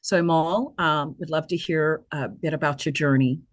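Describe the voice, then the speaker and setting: A woman hosting an online town hall in a semi-prepared address, speaking earnestly and deliberately with some halting phrasing. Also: deep voice, steady tone. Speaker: nonprofit leader hosting a virtual town hall.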